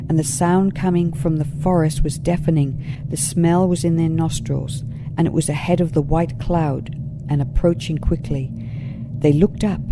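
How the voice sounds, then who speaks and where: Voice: mid-range voice, background noise. A narrator reading a children's story aloud from a script.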